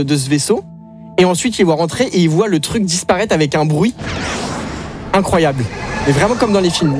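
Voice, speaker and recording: A man co-hosting a radio show, telling a story in casual banter with animated energy. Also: a little high.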